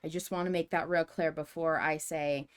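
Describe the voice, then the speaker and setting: A woman's voice: low voice, steady tone, moderate pace, clear, resonant tone. A woman co-hosting a podcast in casual fan discussion, thinking aloud with emphasis. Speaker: podcast co-host.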